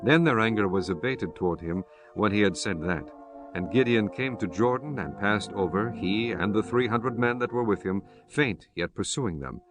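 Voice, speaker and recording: A man giving a measured, solemn scripted narration of scripture. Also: on the low side, lively intonation, over music.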